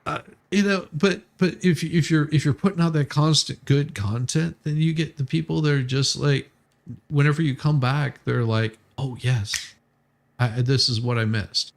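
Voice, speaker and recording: A man chatting casually as a live streamer, explaining things haltingly and often repeating his words. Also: a little high, steady tone, vocal fry. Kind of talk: casual chat on a livestream.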